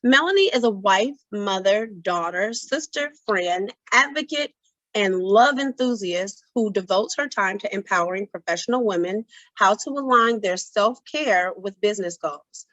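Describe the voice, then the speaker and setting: Raspy woman hosting an online event. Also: mid-range voice, a bit bright, no echo. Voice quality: raspy this evening. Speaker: host of an online symposium. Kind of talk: event introduction and hosting on a video call. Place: indoors on a video call. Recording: dull video-call audio.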